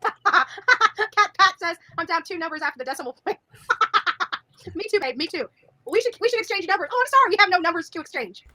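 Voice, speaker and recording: A woman's voice: very high-pitched, lively intonation, brisk, pushed and bright, no echo. A woman in her forties chatting bluntly and brashly on a livestream panel, laughing often. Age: 40s. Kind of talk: casual livestream chat.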